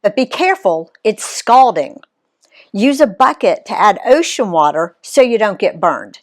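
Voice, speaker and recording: A woman's voice: medium pitch, sing-song, a little slow. A woman reading the lines of a friendly local man patiently explaining how something works. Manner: patient, instructive.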